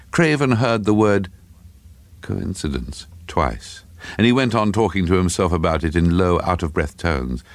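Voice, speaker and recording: Casting: male narrator for dark literary fiction. Bass-leaning, heavy fry, scripted dramatic delivery. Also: no room sound.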